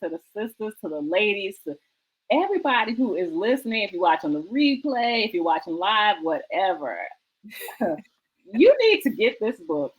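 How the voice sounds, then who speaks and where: A woman's voice: medium pitch, big pitch swings, normal speed, breathy edge, poor audio. A woman hosting a book talk show, speaking conversationally and enthusiastically in an emphatic, exhorting way that repeats phrases for effect.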